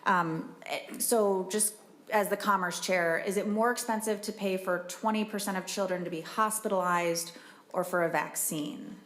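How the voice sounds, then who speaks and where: A woman's voice: mid-range voice, fairly even intonation, normal speed, a bit bright, slight background noise. A woman of forty speaking as a state senator in a committee, arguing earnestly in a conversational way. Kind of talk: legislative committee remarks.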